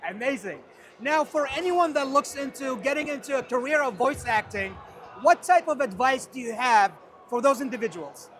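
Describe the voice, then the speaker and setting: A man's voice: extremely high pitch, lively intonation, moderate pace, a bit bright. An enthusiastic man from Kuwait hosting an interview, often echoing what his guest says. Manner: enthusiastic, echoes the guest's phrases. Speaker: interviewer, TV host.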